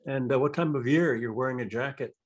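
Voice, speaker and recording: A man in the audience asking halting questions over a video call. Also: mid-range voice.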